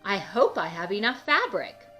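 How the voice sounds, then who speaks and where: A woman's voice: mid-range voice, sing-song, moderate pace, bright, forceful tone, poor audio. A woman voicing a doting, fussy married woman from a storybook, with gushing emphasis. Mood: pleased. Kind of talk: storybook character voice. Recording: music under the voice.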